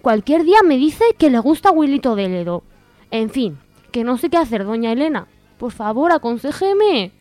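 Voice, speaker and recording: A woman's voice: fairly high pitch, sing-song, normal speed, warm tone, soft background music. A woman playing a naive, indignant posh young woman in a parody advice letter, with affected, melodramatic talk. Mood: snobbish, dismayed.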